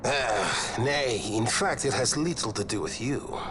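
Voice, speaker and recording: A man's voice: medium pitch, animated, unhurried, noisy background, lightly acted. An actor playing a suave, flamboyant nobleman in a video game, with a courtly, theatrical manner. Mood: grave, courtly. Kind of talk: scripted game cutscene acting.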